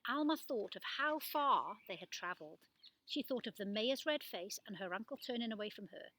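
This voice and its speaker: A woman giving an expressive, animated reading of a children's story. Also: mid-range voice, moderate pace, slight background noise, poor audio. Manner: animated delivery with acted-out dialogue. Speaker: storybook reader.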